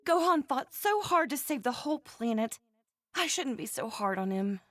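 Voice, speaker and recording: Doting, strict game mother; high, very animated, melodramatic, dry studio sound. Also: normal speed, dry recording. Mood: earnest. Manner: fussy, emphatic, melodramatic. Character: doting, fretful, strict mother proud of her son. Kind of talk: animated game character dialogue.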